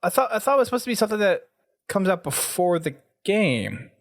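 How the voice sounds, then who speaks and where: A man's voice: high-pitched, big pitch swings, fairly quick, no room sound. Casual male podcast host, close dry sound. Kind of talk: casual gaming podcast chat.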